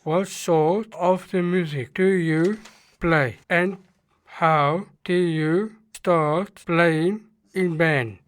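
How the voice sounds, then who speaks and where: A woman reading out interview questions carefully and haltingly. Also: lowish voice, dry recording.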